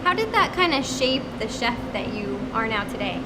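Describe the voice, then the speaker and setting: A woman's voice: fairly high pitch, sing-song, normal speed, background noise. A woman hosting a lifestyle TV show, interviewing chefs with enthusiastic, bubbly praise. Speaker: host.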